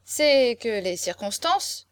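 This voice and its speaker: A woman's voice: on the high side, sing-song, slow pace, no echo. A woman voicing a drunken young marquis in a storybook, a pompous gallant who stubbornly keeps on. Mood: tipsy, insistent. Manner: pompous, imperturbably tenacious.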